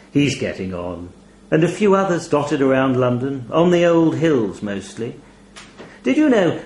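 An ancient raven demon played by a man speaks with the weary pomp of a retiring bishop. The delivery swoops widely in pitch, wistful and clerical, in a roomy, echoing storybook reading. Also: darkish tone, slight background noise. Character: ancient male talking toll raven, pompous, clerical, wistful bird demon. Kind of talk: audio short story character dialogue.

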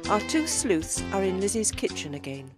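A woman's voice: medium pitch, big pitch swings, normal speed, lightly acted. A woman playing a continuity narrator, reading scripted narration for a radio play. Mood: storytelling. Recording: quiet voice under loud music. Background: loud music.

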